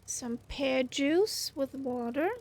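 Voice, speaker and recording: A woman's voice: high-pitched, slow pace, a little mellow. A woman in casual voice chat during an online group flight.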